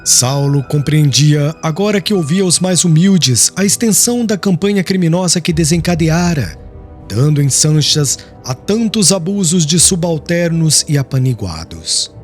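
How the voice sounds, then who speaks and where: A man narrating an audiobook in a steady, formal storytelling manner, with faint music behind. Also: a little high, unhurried. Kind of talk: scripted literary narration. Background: faint background music.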